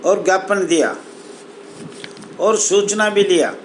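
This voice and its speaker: A man giving a formal spoken appeal as an association spokesman, in a deliberate, halting, emphatic way, phrase by phrase. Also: high-pitched, slight background noise. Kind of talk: formal address to camera, appeal.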